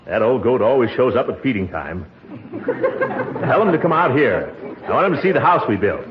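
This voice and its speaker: A man's voice: medium pitch, sing-song, normal speed, natural voice. A man acting a pompous, easily flustered middle-aged American uncle in a 1940s radio comedy, blustering and chuckling as he hems and haws. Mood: blustery, chuckling. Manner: blustering, hemming and hawing, frequent chuckles. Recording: muffled, noisy old radio recording.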